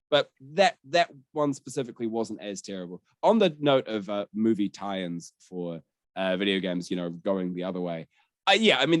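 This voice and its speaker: A man's voice: on the low side, dry recording, clean studio sound. A man hosting a casual podcast chat in an enthusiastic, wordy way. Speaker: podcast host. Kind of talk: casual podcast chat about games and film.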